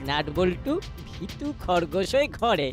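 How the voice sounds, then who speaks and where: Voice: mid-range voice, very animated, unhurried, over loud music. An adult voicing a swaggering cartoon bully boy. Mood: gloating. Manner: taunting, swaggering. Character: gloating, mocking young neighbourhood bully boy. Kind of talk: cartoon voice acting.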